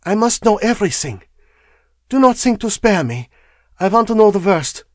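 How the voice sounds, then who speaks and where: A man voicing a pale foreign wife, quiet, coldly controlled and intense, in an audiobook story. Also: high voice, big pitch swings, normal speed, no room sound, studio quality, pitched above the performer's own voice. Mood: composed, insistent.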